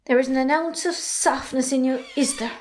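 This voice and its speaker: Outspoken young Irish farm daughter, acted by a woman, high voice, assertive, amateur play. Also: steady tone, moderate pace, very noisy, lightly acted. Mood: indignant. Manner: assertive, chiding. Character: outspoken, independent young adult farmer's daughter. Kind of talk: amateur stage drama acting.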